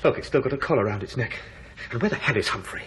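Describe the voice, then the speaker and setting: An actor playing an indignant, earnest British lover in a radio drama, speaking with emphatic theatrical intensity. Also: male voice, mid-range voice, animated, fast talker, fairly bright tone, slight background noise, natural voice. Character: indignant, earnest man in love with a married woman.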